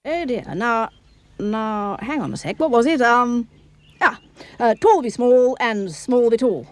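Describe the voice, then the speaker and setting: A woman's voice: a little high, lively intonation, measured pace. A woman voicing an eager, bumbling little cartoon busybody girl, fussy and politely old-fashioned. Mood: flustered, dithering. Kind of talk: fan-dubbed children's cartoon acting.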